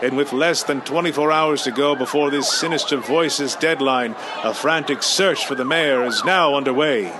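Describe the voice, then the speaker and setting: A man's voice: mid-range voice, moderate pace, few pauses. A man narrating a radio drama serial in a dramatic, announcer-style voice. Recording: radio drama with background hiss.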